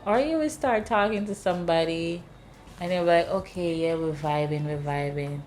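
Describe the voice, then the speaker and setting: A woman's voice: moderate pace, faint music behind. A woman in her thirties with a Jamaican accent, hosting a casual chat and telling stories with acted-out dialogue. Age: 30s. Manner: animated storyteller, acts out dialogue in high voices. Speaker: host.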